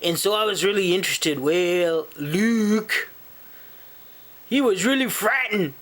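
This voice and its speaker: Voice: medium pitch, takes it slowly, fairly bright tone. A person voicing a friendly, dim, rambling male storybook moose with a hesitant, folksy manner. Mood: rambling, bemused. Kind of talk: in-character picture book retelling to children.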